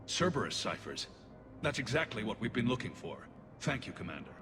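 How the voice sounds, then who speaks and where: A man's voice: mid-range voice, sing-song, brisk, over music, close to an everyday voice. An actor playing a diligent male security officer in a video game, recorded with long reverb. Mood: grateful. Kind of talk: video game cutscene dialogue.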